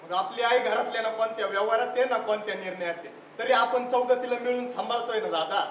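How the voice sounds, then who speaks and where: A man's voice: very high voice, fairly even intonation, quick pace, a bit bright. A man playing an idealistic, stubborn younger brother in a live stage play, speaking in an impassioned, declamatory way. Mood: earnest. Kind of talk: live one-act stage play acting. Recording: echoey distant stage recording, faint noise.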